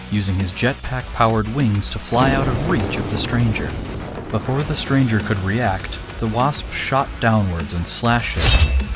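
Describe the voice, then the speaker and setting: A man reading an action story aloud with a steady, dramatic delivery. His voice sits low, and clear music plays underneath throughout. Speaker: narrator. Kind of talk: scripted story narration.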